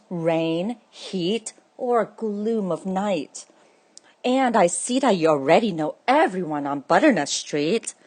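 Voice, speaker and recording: Woman as a kindly, slow-spoken mail carrier. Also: mid-range voice, darkish tone. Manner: kindly, earnest. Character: warm, friendly female letter carrier seeking a companion. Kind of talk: children's read-aloud.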